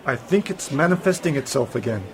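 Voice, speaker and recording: A man's voice: on the high side, expressive, brisk, slight background noise, close to an everyday voice. Man playing a brooding, inquisitive sci-fi adventurer uncle. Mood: pondering, suspicious. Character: brooding, inquisitive male time-traveller uncle, mysterious and authoritative. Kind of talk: amateur science fiction radio play acting.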